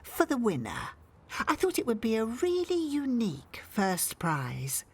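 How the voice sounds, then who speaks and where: An actress playing a brisk, bossy, chatty village organiser in a radio soap. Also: female voice, a little high, huge pitch swings, darkish tone, husky, full character voice. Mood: brisk, persuasive.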